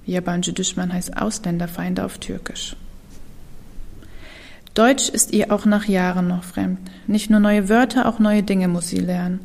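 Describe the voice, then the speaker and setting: A young woman whose first language is Kurdish performs spoken-word poetry, slow and deliberate, often dropping to a whisper. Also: on the low side, steady tone, creaky, darkish tone. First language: Kurdish (Kurmanji). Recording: reverberant hall.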